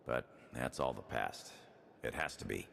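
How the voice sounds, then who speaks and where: A battle-worn military officer, with a very deep, gravelly voice. He speaks plainly and sternly, with restrained weight, in a reverberant hall. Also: measured pace, a bit bright, full character voice. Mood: grave, resolute. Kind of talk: scripted video game dialogue. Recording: reverberant hall with faint background noise.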